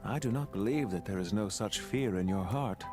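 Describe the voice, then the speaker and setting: An actor playing a proud, composed, calculating magnate in a video game, with a dignified, deliberate and formal delivery. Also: male voice, fairly low pitch, close to an everyday voice. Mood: composed, pointed. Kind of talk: scripted video game voice acting. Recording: music under the voice.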